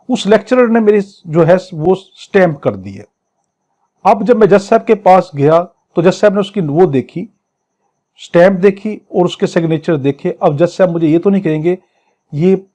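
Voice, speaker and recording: A man's voice: on the high side, dark tone. A man with a Pakistani accent giving an exam lecture, reading clauses aloud and explaining them in a didactic way, often answering his own questions. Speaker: law lecturer.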